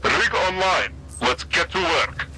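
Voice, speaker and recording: An actor playing a workmanlike, obedient army technician giving short, practical unit replies in a strategy game. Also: male voice, a little high, expressive, slow, very noisy. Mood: dutiful. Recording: loud game music over voice.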